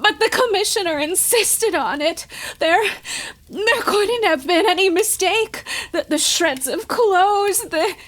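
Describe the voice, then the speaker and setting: High-voiced, distraught, halting radio-drama widow. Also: female voice, animated, a bit bright, full character voice. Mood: tearful, guilt-ridden. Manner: halting, stammering, melodramatic. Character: distraught wealthy widow, anxious and guilt-ridden. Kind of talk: 1950s radio drama acting.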